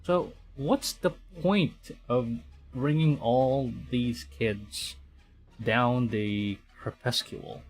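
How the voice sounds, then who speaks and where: A man live streaming a game playthrough, reading text aloud and adding hesitant, stammering asides. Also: mid-range voice. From Philippines. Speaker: VTuber streamer. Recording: music behind the voice. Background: game music.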